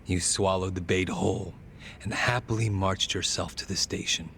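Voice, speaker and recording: A man playing a cold, smug gangster with a gravelly voice and a measured, condescending manner, in a dubbed video game cutscene. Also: low-pitched, steady tone, a bit bright, slight background noise. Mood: smug, calm. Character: cold, smug male gangster calmly confessing and needling.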